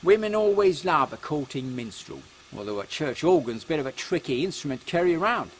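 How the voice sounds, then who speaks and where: A man's voice: fairly high pitch, sing-song, fairly quick, low-quality recording. A man voicing a cheeky, slangy working-class Cockney agent in a comic Victorian audio drama. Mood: bantering. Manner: slangy, blunt, irreverent. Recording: faint background noise.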